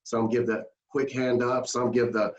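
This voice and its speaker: A man co-hosting a casual interview, asking rambling, halting questions. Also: mid-range voice, little pitch movement, lo-fi sound. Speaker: podcast co-host. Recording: echoey, distant from the mic.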